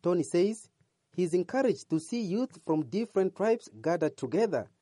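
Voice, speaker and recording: A man narrating a scripted radio news report in an even, measured way. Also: high voice, no room sound, clean studio sound.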